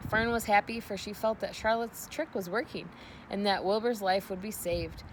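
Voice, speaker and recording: A woman reads a children's story aloud as narrator, at a middle pitch. There is clear noise in the recording. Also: fairly even intonation, moderate pace, rough recording.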